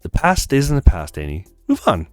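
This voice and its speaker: Man voicing a breezy young handywoman, swooping pitch. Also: huge pitch swings, brisk, no room sound, faint music behind. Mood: reassuring. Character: friendly, frank young female handywoman. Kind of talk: acted visual novel read-aloud.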